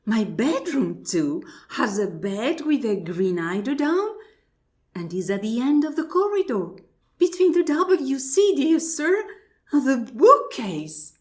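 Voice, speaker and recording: A woman's voice: high-pitched, big pitch swings, slow pace, exaggerated voice. A woman playing a genteel, wonderstruck married lady in an absurdist radio play, formal and exclamatory, repeating her polite phrases. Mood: amazed. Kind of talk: absurdist stage dialogue played as radio drama.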